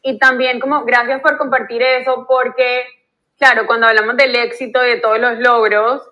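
A woman's voice: fairly high pitch, flat delivery, moderate pace, pushed and bright, muffled recording. A woman, hosting a podcast, speaking in a reflective, affirming way. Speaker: podcast host. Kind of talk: casual conversation.

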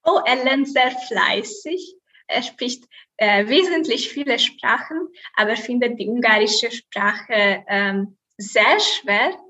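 A young woman in her twenties with a Hungarian accent, answering interview questions haltingly with many fillers. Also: on the high side. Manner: hesitant, many fillers, laughs softly. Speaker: guest, student.

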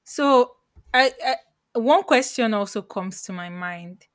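A woman hosts a relaxed conversation and asks long, thoughtful questions. Her recording is dry and close. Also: on the high side, slow, no room sound. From Nigeria. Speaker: host. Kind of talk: casual chat.

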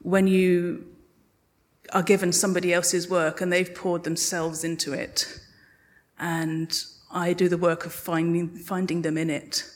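A woman's voice: lowish voice, little pitch movement, measured pace. A woman speaking as a guest in a live interview, reflective and earnest. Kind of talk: thoughtful live panel interview. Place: live podcast festival stage.

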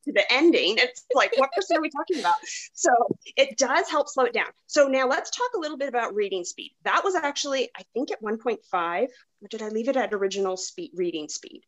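A woman's voice: a little high, animated, brisk, fairly bright tone, rough recording. A woman hosting a podcast in a casual, conversational way. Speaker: podcast host.

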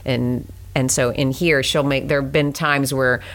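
A woman's voice: rumbling low, steady tone, a little slow. A woman, a romance author, chatting casually in an interview with many fillers and restarts.

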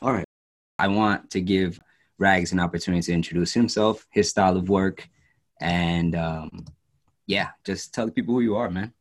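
Co-host, man, deep voice, easygoing and halting, introducing guests. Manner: loose, halting, with "uh" and restarts. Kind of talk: hosting a discussion.

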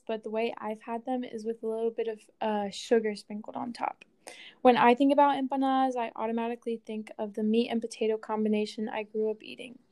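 Bright-toned young woman co-hosting a podcast. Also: fairly high pitch. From Colombia. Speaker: podcast co-host. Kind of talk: scripted podcast reading.

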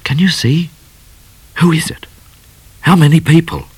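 A man voicing a bashful, gallant bushranger in a storybook, earnest and excitable in his speech. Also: medium pitch, moderate pace, slightly rough, no room sound, pitched above the performer's own voice. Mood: anxious. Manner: earnest, exclamatory.

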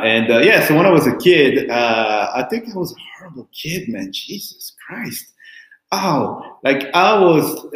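A man whose native languages are French and Italian, speaking English and telling his life story in a candid, rambling way with many restarts and fillers. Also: mid-range voice. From born in Cameroon, raised in Italy and France. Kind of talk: casual personal storytelling. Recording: roomy echo.

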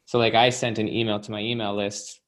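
A man's voice: fairly low pitch, flat delivery, brisk, a bit bright. A man appearing as an author guest, explaining ideas in casual conversation, often checking in with "right?". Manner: explanatory, uses fillers like "you know" and "right?".

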